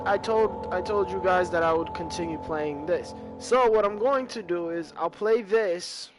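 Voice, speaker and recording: Young male game host, stuffy and sick, higher voice, rambling chatter. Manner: rambling, repeating words as he talks. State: stuffy from a cold. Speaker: gaming channel host. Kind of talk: casual let's-play commentary. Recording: game audio with loud music behind.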